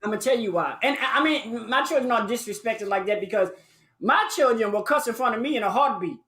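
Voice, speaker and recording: A woman's voice: on the high side, lively intonation, quick pace, a bit bright. A woman chatting candidly on a live show, blunt and cheeky. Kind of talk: casual live chat.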